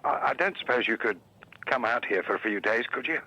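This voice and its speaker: An actor playing a flustered, sheepish garden designer in a radio drama, hesitant and rambling. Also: male voice, medium pitch, animated, fairly quick, sharp tone, no room sound, low-quality recording.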